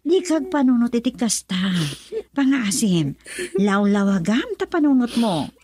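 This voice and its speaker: A woman's voice: on the high side, big pitch swings, measured pace, over loud music. A Filipino woman playing a fiery, scornful older relative in a radio drama, scolding in an exclamatory, melodramatic way. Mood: admonishing.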